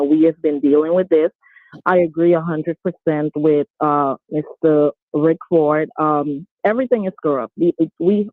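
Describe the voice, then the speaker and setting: A man's voice: on the high side, dry recording. A man in his thirties from Guyana calling in, earnest and insistent. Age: 30s. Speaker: caller. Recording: tinny phone line.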